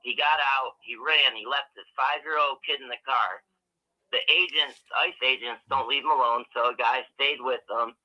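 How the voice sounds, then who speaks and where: A man's voice: mid-range voice, moderate pace, no echo. A man calling into a show by phone, arguing hard and swearing often. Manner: profane, argumentative, interrupts. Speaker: caller. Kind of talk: heated phone-in argument. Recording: muffled phone line.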